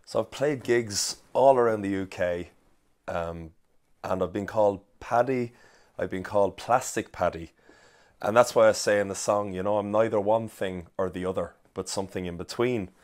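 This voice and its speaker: Irish guy, real low voice, thinking out loud about his song, lots of ums and restarts. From Ireland. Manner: thoughtful, halting, with frequent ums and restarts. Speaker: singer-songwriter talking about his song. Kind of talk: reflective interview talk.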